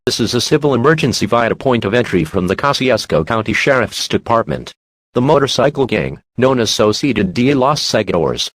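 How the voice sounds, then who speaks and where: Man as automated emergency alert announcer: grave, measured, formal, very dry sound. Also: mid-range voice, dry recording, close to an everyday voice.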